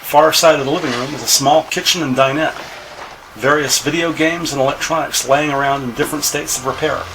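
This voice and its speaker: A man's voice: a little high, steady tone, brisk. A man reading scripted narration for a crime audio drama. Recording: noisy ambient sound bed.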